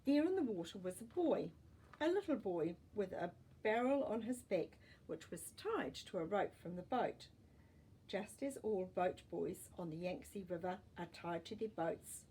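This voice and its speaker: A woman storyteller reading a children's story expressively, with deliberate pauses and dramatic emphasis. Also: medium pitch, lively intonation, dark tone, slightly rough, poor audio. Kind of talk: expressive storybook narration with asides to young listeners.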